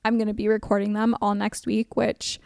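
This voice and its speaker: A woman in her twenties hosting an advice podcast, chatting casually with a level, monotone delivery and lots of "like" fillers. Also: medium pitch, fairly quick, gravelly, no room sound, studio quality. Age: 20s. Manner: chatty, says she sounds monotone, frequent "like" fillers. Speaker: podcast host.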